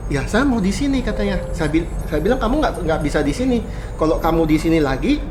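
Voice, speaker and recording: A man's voice: high voice, expressive, quick pace, music in the background. A man casually recounting a ghost encounter, acting out the quoted dialogue in a raised voice. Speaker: guest telling a ghost story. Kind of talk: casual storytelling chat.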